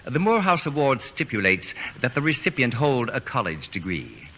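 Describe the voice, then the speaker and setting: An actor playing a pompous, self-satisfied male novelist with deadpan, precise formal diction in an old radio comedy. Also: mid-range voice, moderate pace. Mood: haughty, deadpan. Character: haughty self-declared genius, an imperious male novelist. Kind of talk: 1940s radio comedy acting. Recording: noisy old radio broadcast recording.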